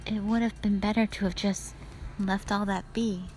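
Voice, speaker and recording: A woman playing a wistful, scheming yet playful witch sister in an audio roleplay, speaking softly and reflectively to the listener. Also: medium pitch, steady tone, moderate pace, slight background noise, lightly acted, poor audio. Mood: wistful, solemn.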